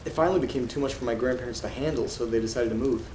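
A man acting in a horror audio drama as an uneasy letter reader, telling things in a subdued, measured way with dramatic pauses. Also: medium pitch, a little mellow, close to an everyday voice. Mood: somber, ominous. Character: uneasy man reading creepy letters aloud alone. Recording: roomy sound with rain and noise. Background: rain and thunder ambience.

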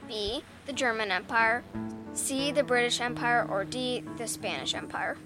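A woman's voice: a little high, unhurried, over music. A woman co-hosting a podcast, asking questions in an upbeat, scripted way. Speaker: podcast co-host.

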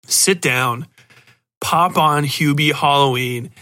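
A man hosting a podcast, giving a casual, rambling, animated review and swearing freely. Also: fairly high pitch, slow. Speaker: podcast host.

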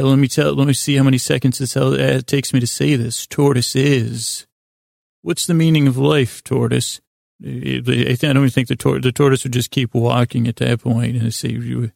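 A man hosting a bedtime podcast in a creaky, gravelly voice, rambling and halting, repeating himself and wandering into absurd asides. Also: mid-range voice, a little mellow, no echo, clean studio sound. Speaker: podcast host. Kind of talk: rambling bedtime monologue to help listeners sleep.

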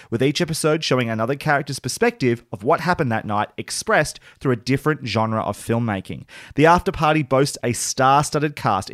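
A man hosting a casual TV review podcast, his voice croaky and worn from yelling. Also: medium pitch, no echo, studio quality. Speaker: podcast host.

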